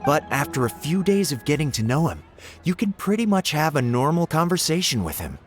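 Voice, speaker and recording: A man's voice: fairly high pitch, sing-song, a little fast, breathy edge, soft background music. A man playing an earnest, competitive, easily irked young assistant in a video game, speaking expressively. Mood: earnest reporting. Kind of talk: video game character dialogue.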